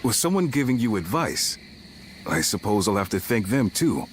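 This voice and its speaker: A man playing a steady, determined warrior of ancient China in a video game, measured and composed. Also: on the low side, slight background noise. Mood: calm. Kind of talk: video game dub acting.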